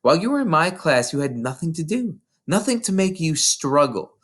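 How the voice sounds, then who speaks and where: An adult reader voicing a kind, thoughtful woman schoolteacher in a storybook, gently explaining. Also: mid-range voice, big pitch swings, moderate pace, voice pushed up. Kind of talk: character dialogue in storybook reading.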